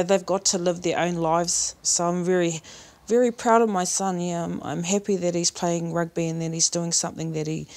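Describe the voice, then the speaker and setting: A middle-aged woman, speaking plainly and haltingly in a reflective interview as a mother. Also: lowish voice, a little mellow. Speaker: mother of a rugby player. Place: living room, on a sofa.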